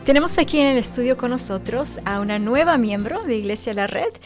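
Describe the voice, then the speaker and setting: A woman's voice: fairly high pitch, animated, a little fast, over music. Female radio host, faith testimony interview, encouraging, prompts guest with short affirmations.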